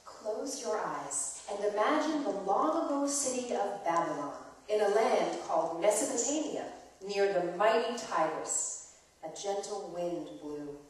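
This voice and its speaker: Storytelling aunt, played by a woman: gentle, soothing, lively pitch, staged reading recorded far off in a very echoey church. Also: a little slow, lightly acted. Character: gentle storytelling aunt Tamar from the Hebrew Bible. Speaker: narrator in a staged myth reading. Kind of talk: dramatic storytelling to children. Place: church performance space.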